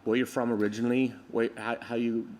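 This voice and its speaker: A man's voice: mid-range voice, little pitch movement, a little fast, a little mellow. A man hosting a casual interview, asking eager questions that trip over themselves. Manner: enthusiastic, stumbles over his questions. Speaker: podcast host.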